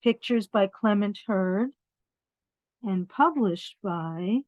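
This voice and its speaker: Female children's storyteller, unhurried and expressive, reading picture books with simple asides; dull, roomy recording. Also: mid-range voice. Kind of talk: read-aloud of picture books with asides to young listeners.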